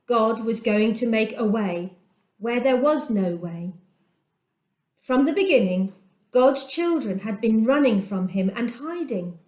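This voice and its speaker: A middle-aged woman telling a children's Bible story aloud, gentle and expressive, with dramatic pauses. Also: on the high side. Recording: echoey room.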